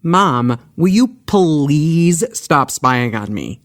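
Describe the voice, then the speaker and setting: An earnest young son, fed up with a parent, in a comedy audio drama. The voice is pitched low and drawn out, with animated rises and falls. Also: female voice, extremely slow, exaggerated voice. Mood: exasperated.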